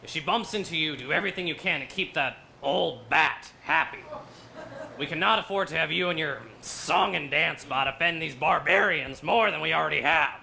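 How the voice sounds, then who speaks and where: An actor playing an officious, blustering space-fleet admiral with a gravelly voice, recorded live in a stage comedy. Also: medium pitch, normal speed, pushed and bright, slight background noise. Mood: officious, stern. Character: flustered, pompous military admiral turning fawning. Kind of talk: musical comedy dialogue.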